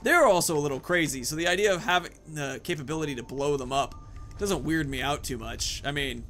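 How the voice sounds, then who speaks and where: A man's voice: fairly high pitch, a little fast, sharp tone, slight background noise. A man hosting a gaming video, giving casual commentary and thinking aloud about his moves and choices. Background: video game music.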